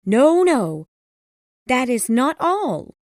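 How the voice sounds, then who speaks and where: An anxious young woman, guarded and sorrowful, pleading in a raised, strained voice, as a male storyteller puts her on. Her speech swings up and down with lively pitch and drawn-out, slow phrasing. The sound is close and dry. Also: no echo, exaggerated voice. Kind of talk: audiobook character voice.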